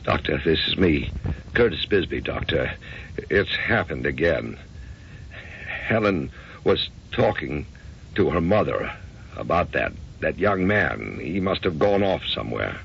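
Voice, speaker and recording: A troubled American husband in an old-time radio play. His voice sits extremely low with a heavy gravelly creak, and he speaks with earnest insistence. The recording is dull and old. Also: very animated, measured pace, fairly bright tone, slight background noise, heavily acted. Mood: anxious, hesitant. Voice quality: very deep, gravelly. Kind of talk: old-time radio drama acting with narration.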